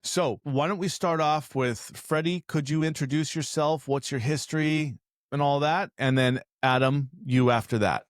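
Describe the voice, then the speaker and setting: A man's voice: fairly high pitch, moderate pace, dry recording, clean studio sound. A man from Southern California hosting a casual interview podcast, chatty and joking as he rambles. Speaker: podcast host.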